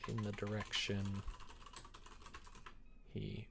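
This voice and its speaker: Guy streaming while he writes, slow talker, jokey, kinda mumbles to himself. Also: fairly low pitch, completely flat delivery, vocal fry, background noise. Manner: playful, joking asides. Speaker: live streamer making a visual novel. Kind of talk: casual chat while writing and coding.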